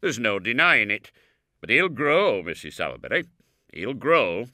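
A man's voice: fairly low pitch, very animated, unhurried, bright, forceful tone, dry recording, studio quality, voice pushed up, heavily acted. A man voicing a pompous, self-important middle-aged parish beadle who speaks in a majestic, overbearing way.

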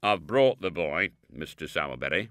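A man's voice: sits low, animated, moderate pace, fairly bright tone, dry recording, clean studio sound. A man voicing a pompous, self-important middle-aged parish beadle who speaks in a majestic, overbearing way.

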